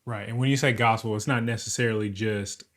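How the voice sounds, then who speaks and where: A man's voice: fairly low pitch, steady tone, quick pace, a bit bright. Guy hosting an interview, laid back, takes his time asking stuff. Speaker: interview host. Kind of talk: casual interview questions.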